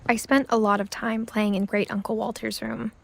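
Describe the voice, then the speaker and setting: A woman's voice: a little high, level tone, moderate pace, creaky, a little hiss or hum. A young woman playing a reflective teenage girl who recalls her family in wistful, understated game narration. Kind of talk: scripted first-person game narration.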